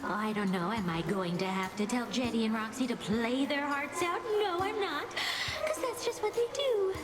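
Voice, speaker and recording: A woman's voice: on the high side, expressive, normal speed, close to an everyday voice. A woman playing a cocky siren rock singer in a video game, taunting like a theatrical show-off. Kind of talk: video game character acting. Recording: loud music behind the voice.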